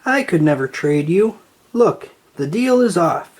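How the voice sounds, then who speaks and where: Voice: mid-range voice, very animated, measured pace, husky, voice pushed up. A narrator voicing an earnest, anxious boy who manages a baseball team in a children's storybook. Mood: apologetic.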